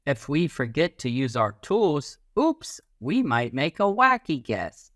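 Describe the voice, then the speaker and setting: Male teacher-narrator for kids' educational picture book: lively melody, instructive delivery, close dry sound. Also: on the high side, takes it slowly, no echo. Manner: animated, instructive, acts out little sounds and quotes. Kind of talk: expressive children's read-aloud.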